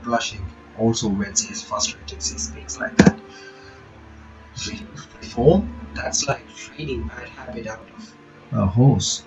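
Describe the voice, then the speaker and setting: A man reading a visual novel aloud, with halting reading broken up by quick reactions of his own. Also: medium pitch, creaky, distant mic, muffled recording. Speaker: reaction channel host. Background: game music playing.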